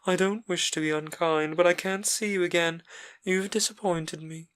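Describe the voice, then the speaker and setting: A man reading a novel aloud, voicing a vain young gentleman who speaks to his lover with bitter, cutting scorn. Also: high voice, steady tone, normal speed, smooth, pitched above the performer's own voice. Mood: cold. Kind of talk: audiobook character voice.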